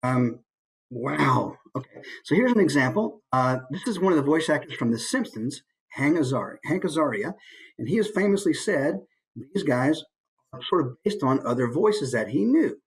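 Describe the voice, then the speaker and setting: A man's voice: a little high, big pitch swings, moderate pace, slightly rough, rough recording. A man with an American accent giving an informal, conversational instructional talk as a voice actor. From United States. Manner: conversational, demonstrates as he explains.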